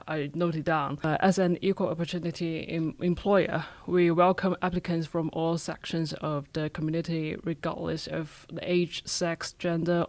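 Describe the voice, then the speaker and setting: A man's voice: fairly high pitch, no echo. A young man speaking English as a second language, answering as an interview guest carefully and hesitantly with many fillers. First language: not English. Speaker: interview guest, university graduate. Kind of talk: casual conversational answer.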